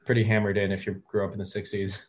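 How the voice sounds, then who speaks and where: Relaxed man in his thirties, halting chat. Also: fairly low pitch. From United States. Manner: relaxed, hesitant, many fillers and restarts. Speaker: guest, music producer. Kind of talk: casual interview chat.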